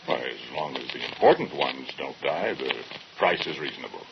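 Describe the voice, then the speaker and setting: Deep-voiced, pompous, arrogant radio-drama scientist. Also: male voice, lively intonation, moderate pace, vocal fry, full character voice. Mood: dismissive. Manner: pompous, lecturing, clipped dismissals. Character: cold, arrogant scientist, dismissive and obsessed with knowledge. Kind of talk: mid-century radio drama acting. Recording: dull, noisy old radio recording.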